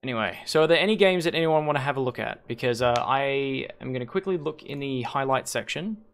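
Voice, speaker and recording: A hoarse man hosting a league stream on a headset mic, rambling and correcting himself as he thinks aloud. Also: medium pitch, expressive, brisk. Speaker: league host and shoutcaster. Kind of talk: casual livestream commentary.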